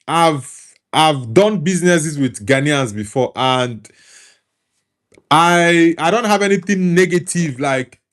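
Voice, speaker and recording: A man's voice: on the high side, animated, takes it slowly, fairly bright tone. A man giving casual football commentary as a show host, hesitant and prone to restarting his sentences. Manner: hesitant, restarts often, says "like".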